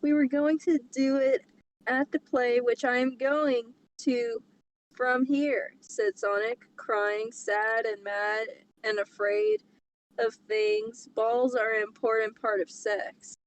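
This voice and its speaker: A woman's voice: a little high, takes it slowly, rough recording. A woman co-hosting a casual comedic read-along, reading a story aloud and joking. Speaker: co-host reading fan fiction aloud. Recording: clear background noise.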